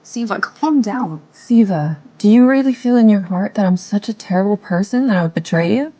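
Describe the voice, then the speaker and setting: A woman playing a gentle, hurt older sister in an audio drama, soft and pleading. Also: medium pitch, animated, moderate pace, highs cut off, natural voice.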